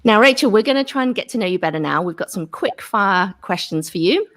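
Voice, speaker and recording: A woman co-host asking interview questions on a video call, encouraging and quick to praise. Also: medium pitch, expressive, fairly bright tone.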